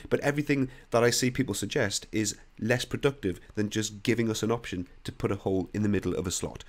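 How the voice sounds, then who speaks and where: A young man with a croaky voice, bluntly talking through a CAD software screen recording. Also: on the low side, fast. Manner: blunt, opinionated, conversational. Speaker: CAD software tutorial host.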